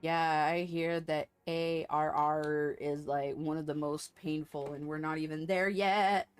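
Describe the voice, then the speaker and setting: A woman's voice: deep voice, fairly even intonation, measured pace, clear, resonant tone, soft background music. A woman livestreamer chatting casually while she plays a game. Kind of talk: casual chat over gameplay.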